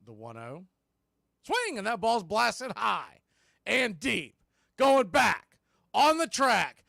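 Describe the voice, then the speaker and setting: A man calling baseball play-by-play as a radio announcer, in clipped, folksy phrases that range from quiet to excited. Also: high voice, slow pace, gravelly, dry recording, studio quality. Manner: swings from hushed calls to excited shouts. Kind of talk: live radio play-by-play commentary.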